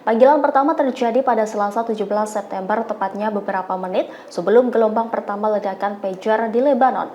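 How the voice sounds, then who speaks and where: A woman's voice: mid-range voice, fast, hardly any pauses, mellow, a little hiss or hum. A woman reads scripted news narration as a voice-over.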